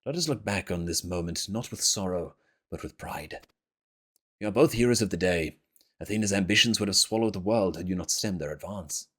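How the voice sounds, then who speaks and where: A man voicing a solemn, learned fantasy game scholar in a formal, measured, grave manner. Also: low voice. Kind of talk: reading fantasy game dialogue aloud. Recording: game music behind the voice.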